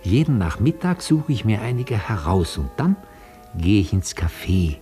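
An actor playing a gentle, nostalgic old retired opera tenor in a German radio play, courteous and halting. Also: male voice, fairly low pitch, sing-song, faint music behind. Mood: proud, nostalgic. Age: older.

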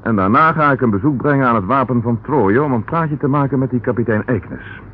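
An actor playing a composed, shrewd police inspector in a Dutch radio play, brisk and authoritative with dry irony. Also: male voice, medium pitch, lightly acted. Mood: polite, businesslike. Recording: muffled, noisy old radio play recording.

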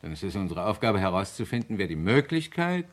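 An actor playing a measured, probing police inspector in a German radio crime play, formal and polite. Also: male voice, lowish voice, very animated. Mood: politely probing.

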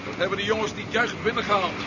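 Actor as a high-voiced, plain-spoken man, very noisy recording. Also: very fast, close to an everyday voice. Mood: gloomy. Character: gloomy, sceptical male space veteran, informal and plain-spoken. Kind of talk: 1970s Dutch radio drama acting. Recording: very noisy, dull 1970s radio recording.

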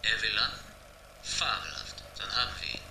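A man's voice: on the low side, expressive, normal speed, gravelly. An actor playing a scheming, impatient, bossy man in a radio drama. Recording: echoey, faint ambient noise.

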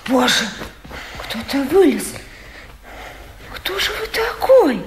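A woman's voice: high-pitched, big pitch swings, slow pace, heavily acted. An actress playing a hysterical lady of the house in a radio comedy, crying out shrilly and repeating her exclamations. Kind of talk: theatrical radio comedy acting. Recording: very noisy radio play recording.

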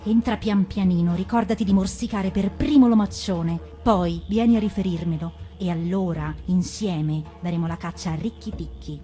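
A woman's voice: on the low side, moderate pace, hardly any pauses, natural voice. Woman as a scheming, wicked female cobra. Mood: plotting. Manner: hushed, plotting.

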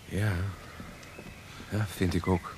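An actor playing a young well-born gentleman in a Dutch historical radio drama, sociable and frank, chiding a friend. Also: sits low, flat delivery, slow, noisy background. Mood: calm, earnest.

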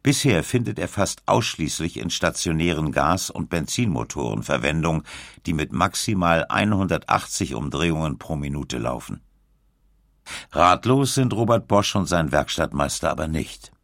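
A man reading scripted documentary narration in a measured, clearly articulated way. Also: very deep voice, very animated, fairly bright tone, no echo, studio quality. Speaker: audiobook narrator.

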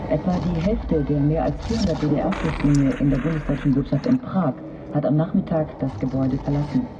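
A man's voice: fairly high pitch, normal speed. A man reading a formal scripted news bulletin on a lo-fi radio broadcast. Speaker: news reader. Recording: dull lo-fi radio broadcast, noisy.

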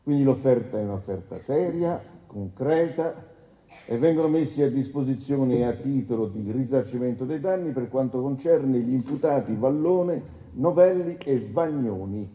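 In a dull, echoing hall, an actor plays an assured defence lawyer with a mid-pitched voice. He addresses the court formally and with deliberate emphasis, in a radio drama. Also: animated, natural voice. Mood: measured, assertive. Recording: echoey hall, dull sound.

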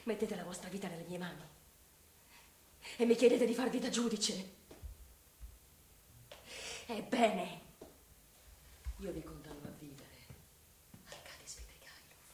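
A woman's voice: lowish voice, lively intonation, unhurried, long pauses. An actress playing a proud, wronged young woman, emotional and accusing, in a theatrical radio drama. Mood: bitter, sorrowful. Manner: emotional, swinging between hushed and outraged. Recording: distant, roomy radio play with faint noise.

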